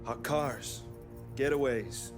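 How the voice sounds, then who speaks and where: An actor playing a chummy, shady garage mechanic, chatty and ingratiating, in a video game. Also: male voice, mid-range voice, slow, natural voice. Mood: sly, matter-of-fact. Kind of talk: video game cutscene acting. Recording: music behind.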